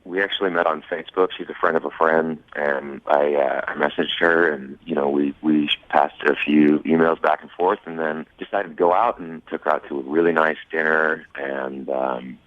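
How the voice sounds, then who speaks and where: Very deep, sultry male caller on phone. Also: fairly even intonation, moderate pace, hardly any pauses, no room sound. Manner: self-assured, flirtatious. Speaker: caller on a radio dating segment. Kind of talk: casual phone chat. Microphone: phone call. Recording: narrow tinny phone line.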